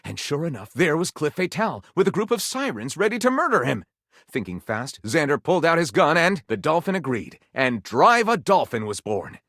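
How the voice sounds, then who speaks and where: A man's voice: on the high side, very animated, normal speed, a bit bright, dry recording, studio quality, heavily acted. A man playing a theatrical, tongue-in-cheek narrator of pulpy detective tales in a video game, arch and savoring every dramatic turn.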